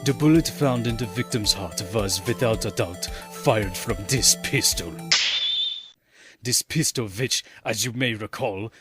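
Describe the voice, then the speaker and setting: A man's voice: fairly low pitch, lightly acted. A man playing a pompous, domineering prosecutor. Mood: haughty. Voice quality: pompous, theatrical, slow and condescending. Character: arrogant bossy male prosecutor, middle-aged. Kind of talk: fan audio drama acting. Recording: voice over background music.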